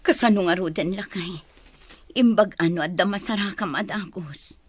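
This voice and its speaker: A woman's voice: fairly low pitch, moderate pace, husky. A woman with an Ilocano Filipino accent playing a stern, practical village mother in a comedy drama. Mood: worried.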